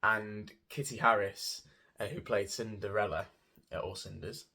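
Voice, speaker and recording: A man's voice: fairly low pitch, steady tone, unhurried, creaky, sharp tone, slightly rough. A man giving a casual, gushing and rambling review of a theatre show as a vlogger, often repeating words for emphasis. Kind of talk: casual spoken review to camera.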